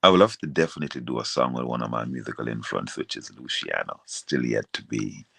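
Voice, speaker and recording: A man with an extremely low, deep voice speaks in a casual interview. He tells his story conversationally, like a seasoned singer. The sound is dry and close, with little room to it. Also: a little fast, gravelly, pushed and bright, slightly rough, no echo. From Caribbean, outside Jamaica. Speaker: reggae singer being interviewed. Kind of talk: casual interview, recounting a career.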